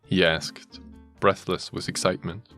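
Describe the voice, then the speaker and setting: A man's voice: low-pitched, little pitch movement, a little slow, bright, forceful tone, faint music behind. A man reading a fanfiction story aloud as a dramatic narrator, mock-serious and often amused, with joking asides. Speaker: story reader.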